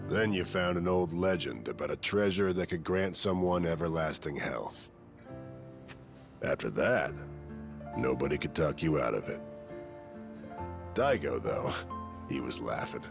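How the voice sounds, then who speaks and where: Deep, gravelly world-weary gangster. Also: male voice, expressive, unhurried, long pauses, over music, exaggerated voice. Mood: teasing. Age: middle-aged. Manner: dry, laconic, clipped phrasing. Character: weathered gruff old yakuza comrade, wry and world-weary. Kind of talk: video game cutscene acting.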